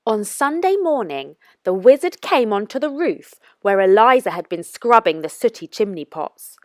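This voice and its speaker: A woman reading aloud as a children's storytime narrator, with expressive, careful and deliberate phrasing. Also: mid-range voice, dry recording, clean studio sound. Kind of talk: scripted storybook read-aloud for young children.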